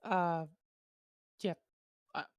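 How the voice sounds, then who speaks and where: A woman whose first language is Dutch, doing relaxed, jokey esports commentary with trailing fillers. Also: lowish voice, drawn-out words, clear, resonant tone, no room sound, clean studio sound. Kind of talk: casual commentary chat.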